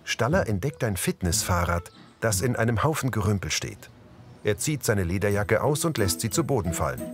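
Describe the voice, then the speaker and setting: A man's voice: fairly low pitch, very animated, normal speed, faint music behind. A man reading a scripted audio description of a drama, narrating scenes in the present tense.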